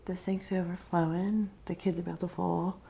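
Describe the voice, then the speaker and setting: A woman playing the patient in an ASMR role-play, answering quietly in brief, low-key replies. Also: little pitch movement, moderate pace, vocal fry, a little hiss or hum.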